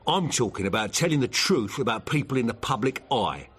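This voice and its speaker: An actor playing a brash, self-important tabloid editor in a radio drama, chummy and insistent in manner. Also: male voice, mid-range voice, expressive, fairly bright tone, husky. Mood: defensive. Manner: chummy, insistent, halting at times.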